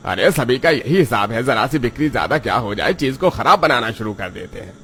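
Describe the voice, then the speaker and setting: A man voicing an excitable, complaining male street-food customer in a cartoon, exclamatory and indignant. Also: medium pitch, a little fast, slight background noise. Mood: disgusted, angry.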